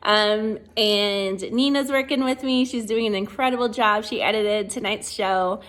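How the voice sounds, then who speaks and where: A woman's voice: mid-range voice, steady tone, measured pace, fairly bright tone. A woman hosting an informal show, introducing performers and asking them questions in a casual way. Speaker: show host. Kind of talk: casual spoken introduction and interview.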